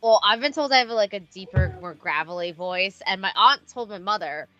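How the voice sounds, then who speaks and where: List: woman, around thirty, podcast co-host, bright tone, chatty, playfully indignant, dry lo-fi recording. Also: medium pitch, animated, moderate pace, no room sound, soft background music. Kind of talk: casual podcast chat.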